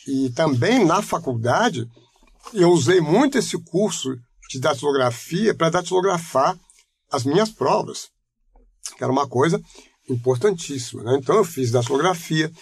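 Gravelly husky older man recalling his past. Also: on the high side. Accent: Rio de Janeiro Portuguese. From Brazil. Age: 70s. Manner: measured, reflective, explanatory, frequent "né?" tags. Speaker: interviewee, retired teacher. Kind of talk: oral history testimony.

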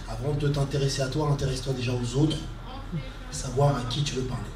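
A man in his forties answering a question at a conference stand on a handheld mic, halting with many fillers and repeats. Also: mid-range voice, fairly even intonation, distant mic, background noise. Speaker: guest speaker. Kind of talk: casual panel answer. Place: conference media stand, indoors. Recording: echoey hall, heard from afar.

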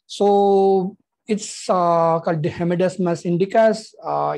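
A man in his thirties with a Tamil accent and a slightly husky, breathy voice talks casually to camera in English, his native language being Tamil. He fills pauses with "uh" and "you know" as he explains things in a halting, friendly vlog manner, recorded on a lapel mic. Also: fairly high pitch, darkish tone. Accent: Indian English, Tamil. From Tamil Nadu, India. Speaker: health insurance consultant.

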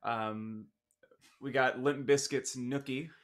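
A man's voice: mid-range voice, fairly even intonation, takes it slowly, fairly bright tone. A man co-hosting a podcast, talking casually in a playful, joking way. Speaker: podcast co-host. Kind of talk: casual chat. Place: small furnished room at home, indoors.

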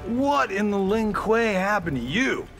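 An actor playing a cocky, wisecracking action-star fighter in a video game, with flippant, slangy teasing. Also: male voice, high-pitched, big pitch swings, unhurried, gravelly, full character voice. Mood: mocking. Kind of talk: video game character dialogue. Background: game music.

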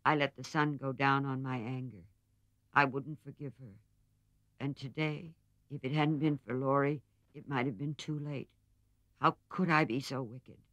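A woman's voice: rumbling low, fairly even intonation, a little slow, a bit bright, dry recording, dull audio. A woman voicing a hot-tempered, passionate teenage girl in a storybook, with emphatic, dramatic delivery. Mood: remorseful.

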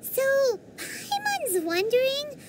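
A woman voicing a small, excitable floating fairy girl in a video game, with a squeaky childlike voice and a chirpy manner. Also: takes it slowly, very noisy, exaggerated voice. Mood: curious, chirpy. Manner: chirpy, refers to herself by name.

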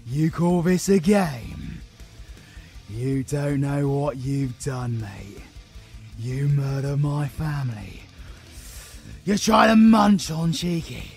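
A British man acts out a blunt, protective fighter from a superhero audio roleplay. He swears freely and emotionally, his pitch swinging widely. There is faint music behind the voice. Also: lively intonation, takes it slowly, gravelly, a little mellow, reverberant, exaggerated voice. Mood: defiant rage. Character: foul-mouthed, defiant young male fighter, fiercely protective.

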